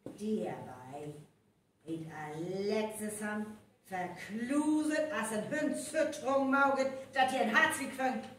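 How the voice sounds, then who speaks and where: Female detective, played by an actress, methodical, slow delivery, North Frisian radio play, heavily echoing hall, distant mic. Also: medium pitch, a bit bright. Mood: explaining, emphatic. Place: hall with a live audience. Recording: echoey live hall recording, distant, faint noise.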